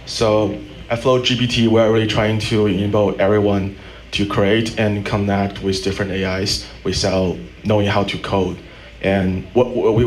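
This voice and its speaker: A man's voice: on the low side, low-quality recording. A young man, a startup founder, casually explaining his journey on a panel. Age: young adult. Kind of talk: casual personal story on a panel. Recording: faint background noise, live event microphone.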